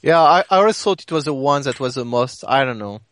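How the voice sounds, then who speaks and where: A man chatting informally on a games podcast, halting and circling back as he searches for words, with loose, sweary phrasing. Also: mid-range voice, animated, a bit bright. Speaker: podcast co-host. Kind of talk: casual podcast chat about video games.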